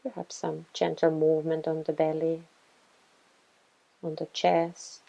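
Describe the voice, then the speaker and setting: A woman leads a guided meditation at a very slow pace, with gentle pauses between phrases. Her voice is fairly low and soft-edged, with a creaky fry running through it. The delivery is soothing and instructional. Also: monotone, highs cut off. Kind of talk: guided body scan meditation.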